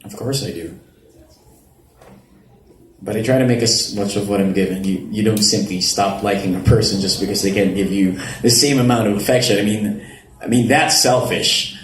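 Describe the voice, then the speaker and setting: A man playing a lovestruck, halting young man in a live reading of a romance story. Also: lowish voice, natural voice. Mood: wistful, tender. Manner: halting, self-deprecating. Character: lovestruck, hesitant young man pining for his friend. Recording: echoey live venue, audible background noise.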